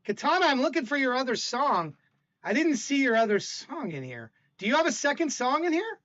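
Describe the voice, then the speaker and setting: An older man hosts a livestream and talks casually to his chat. His voice is loud, bright and forceful, and he stammers as he rambles. The recording sounds dull and lo-fi. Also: very high-pitched, very animated, fairly quick. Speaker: stream host.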